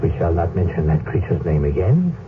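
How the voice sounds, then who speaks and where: An old Roman father, very deep voice, halting, solemn, tinny radio, loud hiss. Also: very animated. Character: grieving Roman father, heavy-hearted and solemn. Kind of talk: 1970s radio drama acting.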